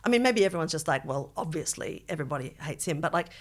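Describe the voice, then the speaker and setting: A middle-aged Australian woman co-hosting a podcast in casual chat, with an animated, wry way of talking and a habit of mimicking others. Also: sits low, fast talker. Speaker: podcast co-host.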